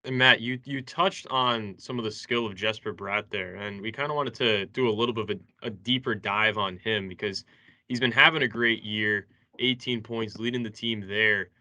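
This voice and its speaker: A man's voice: lowish voice, fairly even intonation, normal speed, bright, forceful tone. A man co-hosting a sports show, putting questions to a guest conversationally. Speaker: sports show co-host, interviewer.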